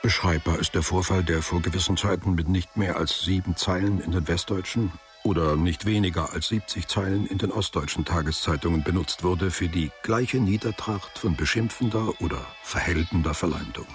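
A man narrating a literary radio drama in measured, deliberate phrases. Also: deep voice, faint music behind.

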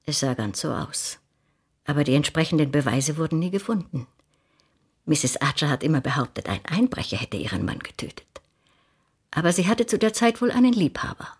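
An actress playing a composed, caring older woman in a radio drama, with a husky voice. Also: deep voice, very animated, brisk, heavily acted. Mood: measured, grave.

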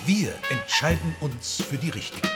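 A smug strategist in a radio play. His voice is fairly high and very animated over loud music. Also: a little slow. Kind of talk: stylised German radio play acting. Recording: loud drum music behind.

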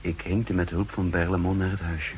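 An actor playing a weary counterspy who gravely recounts his own wounded mission in a 1960s radio drama. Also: low voice, flat delivery, moderate pace, darkish tone, close to an everyday voice. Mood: strained, businesslike. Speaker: narrator and lead actor. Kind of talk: 1960s Dutch radio drama acting and narration. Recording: hissy, humming 1960s radio recording.

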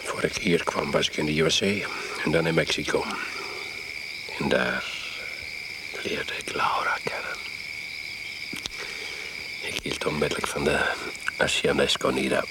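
A man's voice: rumbling low, fairly even intonation, unhurried, long pauses. A man acting in a radio play as a chatty, domineering expatriate host who prods his guest with questions. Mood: chatty, hospitable. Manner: insistent, prodding, keeps adding questioning tags. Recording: radio play with loud insect noise. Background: loud crickets, frogs and animal sounds.

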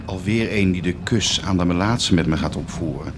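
An actor playing a weary, sardonic leper in a radio play, with a dry, grumbling delivery. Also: male voice, low voice, moderate pace, natural voice. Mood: grudging, sardonic. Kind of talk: radio drama acting. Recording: noisy radio play recording.

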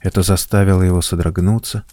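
A man narrating an audio drama in a measured, deliberate storytelling manner. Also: low-pitched, level tone, warm tone, dry recording. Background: faint music.

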